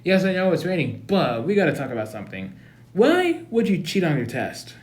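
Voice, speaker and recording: A man's voice: very high-pitched, animated, moderate pace, a little mellow, voice pushed up. Casting: man playing a bossy big brother, lecturing and stern, in an echoey room. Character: bossy older brother, scolding. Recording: roomy echo.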